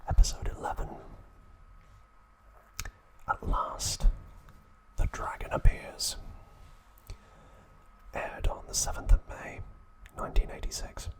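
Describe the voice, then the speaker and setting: A man's voice: takes it slowly, pauses often. Whispering male ASMR narrator. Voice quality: unvoiced, breathy whisper. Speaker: ASMR host.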